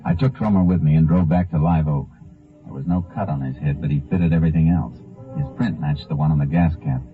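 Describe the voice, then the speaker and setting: Radio crime drama lead: hard-nosed Ranger, deep and commanding, terse delivery, narrow old broadcast sound. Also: male voice, fairly quick. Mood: grave narration. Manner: terse, commanding, clipped questioning. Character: tough, terse Texas Ranger investigating a killing. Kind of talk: 1950s radio drama acting and first-person narration. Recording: muffled vintage 1950 radio recording, music behind.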